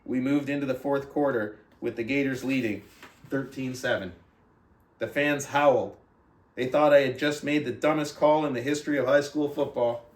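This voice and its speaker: A man's voice: medium pitch, fairly even intonation, normal speed. A man hosting a book club, speaking to his audience as he shares and reads a story aloud. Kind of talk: reading a book aloud with casual commentary. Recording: roomy echo, distant from mic.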